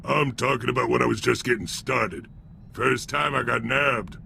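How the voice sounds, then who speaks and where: An actor playing a brutish, bloodthirsty alien gangster in a video game, with a rough voice and crude, sneering street-thug talk. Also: male voice, mid-range voice, moderate pace, bright, forceful tone, slight background noise. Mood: grumbling, menacing. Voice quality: rough, gravelly. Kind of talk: video game character acting.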